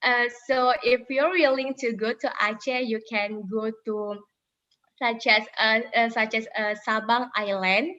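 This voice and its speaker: Indonesian woman, slightly high, muffled call. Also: fairly even intonation, unhurried, pushed and bright. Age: 20s. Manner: hesitant, many fillers and restarts. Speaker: interview guest, former intern. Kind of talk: conversational interview answers.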